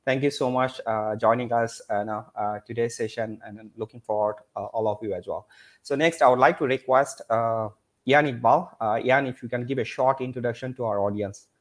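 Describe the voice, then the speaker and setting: A man's voice: mid-range voice, brisk. A man hosting an online panel, full of fillers like "uh" and "you know", now and then switching into Bengali. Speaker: host.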